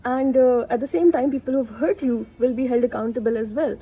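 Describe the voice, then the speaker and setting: A woman's voice: on the high side, a little fast, mellow, breathy edge. A young woman whose first language is Urdu, talking candidly and casually to camera, with plenty of fillers and asides. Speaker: vlogger. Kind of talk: casual reflective monologue to camera.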